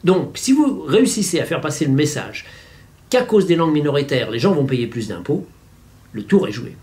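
A man's voice: mid-range voice, darkish tone. A man in his fifties giving didactic, wry opinion commentary to a camera in a room at home. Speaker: vlogger commentator. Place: small furnished room at home.

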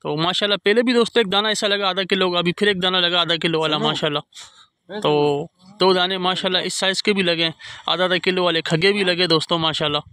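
Bright, high-voiced man vlogging on a beach. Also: fairly even intonation, brisk, smooth, dry recording. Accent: Karachi Urdu. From Pakistan. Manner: chatty, keeps addressing friends, frequent pious interjections. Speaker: fishing vlogger. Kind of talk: casual outdoor vlog narration. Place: night beach campsite by a fire, outdoors.

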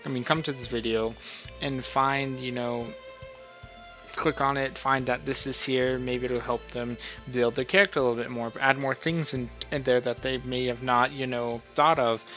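A man's voice: mid-range voice, flat delivery, moderate pace, sharp tone, soft background music. A man talking informally and in a rambling way, as the host of a casual tutorial. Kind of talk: casual screen-recorded tutorial talk. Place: voice-over on a screen recording.

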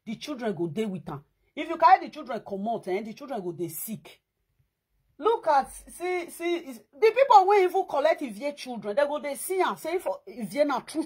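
A woman in her thirties with a Nigerian Pidgin accent, chatting to her viewers indoors in an animated, emphatic way. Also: fairly high pitch, husky. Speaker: vlogger. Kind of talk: casual chat to viewers. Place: indoors against a plain wall.

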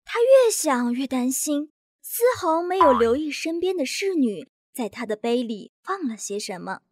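A woman narrating bedtime fairy tales for young children in a scripted, expressive way. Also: high voice, measured pace, no room sound, clean studio sound. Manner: lively, expressive. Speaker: storyteller.

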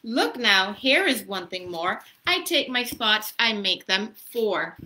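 A woman's voice: medium pitch, sing-song, slow pace, sharp tone. A woman in her thirties reading a children's story aloud in a casual, encouraging way, prompting young listeners with questions. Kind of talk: interactive children's story time, casual chat and read-aloud. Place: small room indoors. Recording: noisy home webcam recording.

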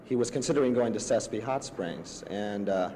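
A young adult man giving halting interview answers. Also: on the low side, warm tone. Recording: faint noise on archival newsreel audio.

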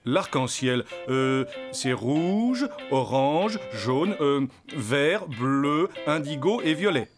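Slow-talking father donkey. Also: fairly high pitch, lively intonation. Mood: thoughtful, puzzled. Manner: unhurried, deliberate. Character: calm, kindly father donkey. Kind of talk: French children's cartoon acting. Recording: music behind the voice.

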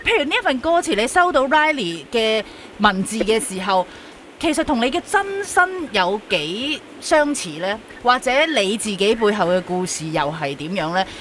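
Lively Hong Kong Cantonese woman radio host. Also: a little high, slight background noise. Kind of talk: casual radio interview chat. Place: radio studio. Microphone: close broadcast microphone, wearing face mask.

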